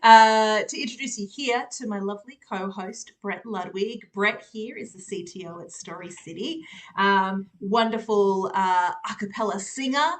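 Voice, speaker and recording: An Australian woman hosts a relaxed live stream, chatting warmly and laughing between her sentences. She fills her pauses with plenty of ums and uhs. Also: medium pitch. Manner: chatty, laughs often, many fillers. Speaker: host. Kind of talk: casual live-stream chat.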